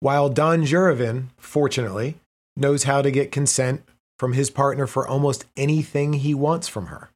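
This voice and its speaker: A man reading a prepared text aloud as narration, pausing between the items of each list. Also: medium pitch, steady tone. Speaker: reader. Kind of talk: scripted narration.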